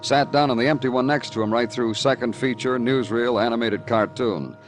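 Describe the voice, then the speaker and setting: An actor playing a world-weary American police detective, clipped, dry and hard-boiled, in vintage radio crime drama style. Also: male voice, medium pitch, normal speed, faint music behind, natural voice. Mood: clipped narration. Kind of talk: 1940s-50s American radio crime drama acting and narration. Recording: old radio broadcast recording.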